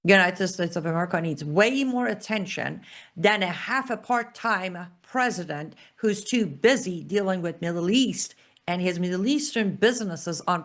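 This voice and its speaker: Woman ranting on her politics show, lowish voice, swings up and down a lot, sarcastic and punchy. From Iran. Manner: emphatic, sarcastic, punchy repeated phrases. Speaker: political commentator, show host. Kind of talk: opinion monologue.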